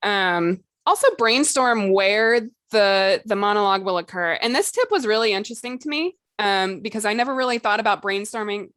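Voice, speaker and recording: A woman's voice: medium pitch, expressive, moderate pace, few pauses, a bit bright, dry recording, studio quality. A woman co-hosting a podcast, explaining writing tips in an informal, hedging way with lots of "like" and "you know". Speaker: podcast co-host. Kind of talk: casual instructional chat about fiction writing.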